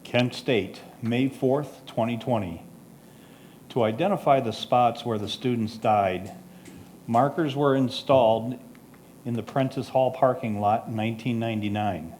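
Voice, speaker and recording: A man's voice: medium pitch, unhurried, a little mellow, a little hiss or hum. A man reads scripted photo captions aloud as narration. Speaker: club member reading photo captions.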